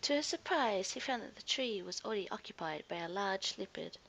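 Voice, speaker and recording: A woman's voice: mid-range voice, expressive, brisk, gravelly, fairly bright tone. A woman narrating a scripted children's story expressively, as a storyteller to young listeners.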